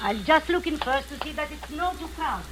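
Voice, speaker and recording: A woman's voice: high-pitched, animated, moderate pace, noisy background. An actress playing a scheming older woman who holds a young girl prisoner with false sweetness, in 1940s radio-drama style. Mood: coaxing. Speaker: Aunt Rita, the villain.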